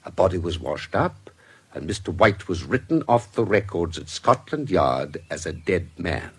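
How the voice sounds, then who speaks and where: A shrewd British investigator in a radio drama, laying out a case with formal precision. His voice is low and rough-edged, and it rises and falls widely as he builds each deliberate point. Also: sing-song, heavily acted. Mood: measured, insinuating. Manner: formal, measured, deliberate storytelling. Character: shrewd, composed male investigator recounting a case, precise and discreet.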